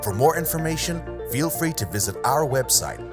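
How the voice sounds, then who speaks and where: A narrator reading a scripted corporate promotional video in a polished, smooth delivery. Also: mid-range voice, sing-song, normal speed. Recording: voice-over with music behind. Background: music.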